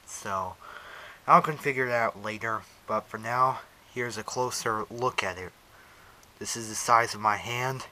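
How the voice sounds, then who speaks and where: A man casually narrating a hands-on unboxing video in halting, pieced-together phrases, recorded on an action camera. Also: lowish voice, sharp tone, slight background noise. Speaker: vlogger unboxing a weather radio. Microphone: action camera on head strap, later monopod.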